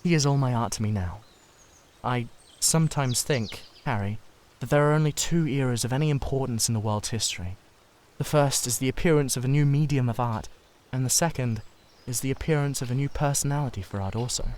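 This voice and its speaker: A man's voice: mid-range voice, darkish tone, close to an everyday voice. A man voicing an intense, idolising painter who speaks in an earnest, confessional way for a dramatised storybook reading. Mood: devoted, earnest. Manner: fervent, halting, confessional. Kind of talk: dramatised literary audiobook reading.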